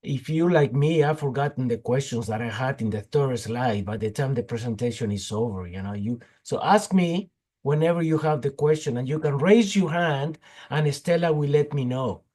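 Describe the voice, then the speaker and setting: A man in his fifties, hoarse from a cold, giving an informal technical talk over a video call, halting with many fillers. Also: mid-range voice, warm tone. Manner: halting, frequent fillers and restarts. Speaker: guest speaker, petroleum geologist.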